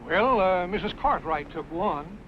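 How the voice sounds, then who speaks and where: High pitched folksy storekeeper guy, halting n musing, old muffled radio western. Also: lively intonation. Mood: chatty, musing. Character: talkative, folksy country storekeeper, slow to recollect. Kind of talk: old-time western radio drama acting. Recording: muffled, faintly noisy vintage radio recording.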